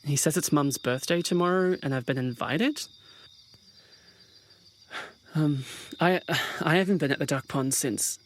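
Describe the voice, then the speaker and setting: A man's voice: a little high, normal speed, a little hiss or hum, close to an everyday voice. A young man playing an anxious, hurt misfit swan in a modern audio drama, speaking in a hesitant, conversational way. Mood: uneasy. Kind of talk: audio drama acting, naturalistic modern dialogue.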